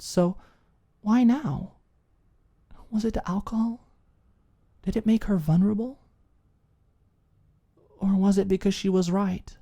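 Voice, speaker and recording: A woman's voice: fairly low pitch, dark tone. Woman narrating a dramatic audiobook. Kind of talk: scripted dramatic story narration.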